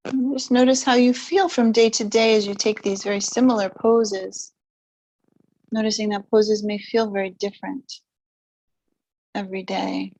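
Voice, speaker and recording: A woman instructor guides a relaxation and gentle stretching practice. Her voice sits at a middle pitch. She offers short, soothing instructions without hurry, leaving long silences between phrases. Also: steady tone, moderate pace, rough recording. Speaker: yoga nidra and stretch instructor.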